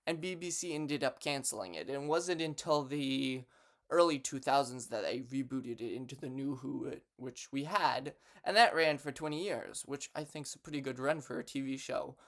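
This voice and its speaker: A man's voice: medium pitch, moderate pace, fairly bright tone. A young man talking casually and unscripted to camera as a vlogger, with a hesitant delivery full of false starts. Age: young adult. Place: bedroom in a home.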